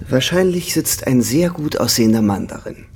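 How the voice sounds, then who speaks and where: Lively, husky young bohemian. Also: male voice, mid-range voice, normal speed. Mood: amused, delighted. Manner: buoyant, teasing, enthusiastic. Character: cheerful, carefree young bohemian artist, almost thirty. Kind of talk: German radio drama acting.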